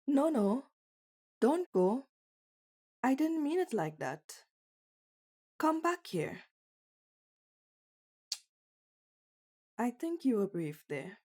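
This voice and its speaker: A woman's voice: on the high side, warm tone, heavily acted. A woman voicing a young bunny girl in an ASMR roleplay, teasing, candid and naively curious in an intimate one-sided conversation. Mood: playful, teasing. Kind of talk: ASMR roleplay audio drama.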